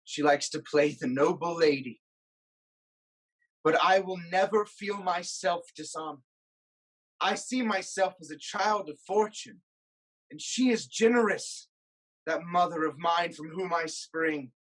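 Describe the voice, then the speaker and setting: A woman playing a proud, stubborn king of ancient Thebes, declaiming deliberately in a theatrical reading. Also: on the low side, rough recording. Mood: proud defiance. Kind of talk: theatrical staged reading of Greek tragedy.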